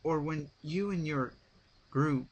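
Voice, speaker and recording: Man acting a stubborn, slow-talking story hero. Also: fairly high pitch, dull audio, pitched above the performer's own voice. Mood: annoyed. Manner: blunt, argumentative.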